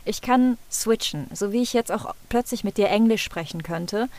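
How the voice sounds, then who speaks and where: Lively, expressive German woman. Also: medium pitch, normal speed. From Rhineland, Germany. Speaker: guest, pronunciation content creator. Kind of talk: casual interview chat.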